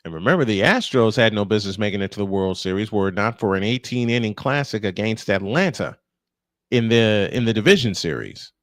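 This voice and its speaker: A man's voice: fairly low pitch, big pitch swings, fairly quick, a bit bright, no room sound, studio quality. A man calling a baseball game live as play-by-play announcer, with conversational, opinionated asides. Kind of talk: live sports commentary.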